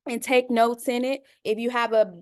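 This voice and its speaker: A woman's voice: fairly high pitch, little pitch movement, normal speed, darkish tone. A woman from Chicago facilitating an online panel discussion, talking in a conversational way and calling her listeners y'all. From Chicago, United States. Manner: conversational, addresses listeners as y'all, many uh fillers. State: dry mouth.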